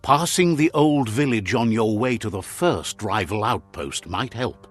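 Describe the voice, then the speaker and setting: A middle-aged man giving calm, scripted storybook narration for a game, relaying what the characters say. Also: lowish voice, measured pace. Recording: studio voice-over with soft game music.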